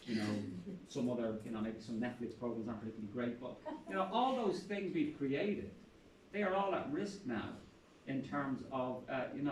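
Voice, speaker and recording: A man's voice: medium pitch, slight background noise. An older Irish man, a professor, speaking bluntly and passionately at a university talk, with salty humor. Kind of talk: public talk at a university event. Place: lecture room. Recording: echoey room, speaker far from mic.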